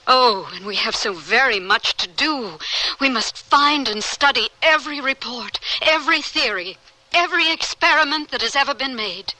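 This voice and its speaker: Bright-voiced, fervent dying woman scientist. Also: sits high, expressive, normal speed, exaggerated voice, poor audio. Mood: urgent, exalted. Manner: intense, theatrical, declamatory. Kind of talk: old-time radio drama acting.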